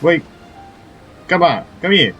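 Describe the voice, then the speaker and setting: A man's voice: fairly high pitch, sing-song, takes it slowly, a bit bright. A man giving casual commentary as he plays a game, talking to himself and to the game. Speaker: gamer playing through a quest. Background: faint game music.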